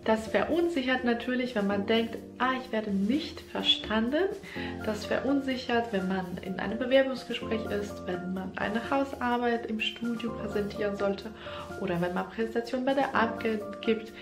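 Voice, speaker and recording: A woman's voice: on the high side, normal speed, echoey room, music in the background. A young woman who is not a native German speaker, talking earnestly to the camera about her own experience as a German teacher. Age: young adult. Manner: earnest, slightly non-native phrasing, speaks with hands. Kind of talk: casual talk to camera. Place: small room, indoors. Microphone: camera in front, head-and-shoulders framing.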